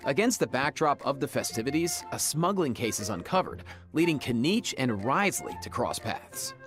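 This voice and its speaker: A man's voice: mid-range voice, big pitch swings, normal speed, a bit bright. A man presenting a game preview program in an upbeat, scripted showcase style, explaining things with enthusiasm. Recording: music playing behind the voice. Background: music.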